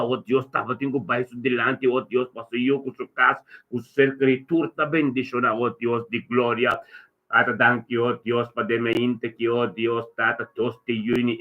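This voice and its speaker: Male preacher, lowish and monotone, leading improvised fervent prayer with repetitive chanting invocations; thin telephone-like audio. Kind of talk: fervent extemporaneous group prayer. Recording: thin, narrow phone-like sound.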